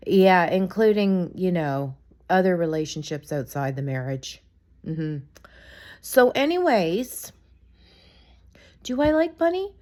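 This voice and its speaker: A woman with a raspy voice from being sick, chatting casually to camera in a rambling, opinionated gossip vlog. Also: on the low side, very animated, takes it slowly, long pauses, a little mellow. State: sick, raspy from a cold, coughing. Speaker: vlogger.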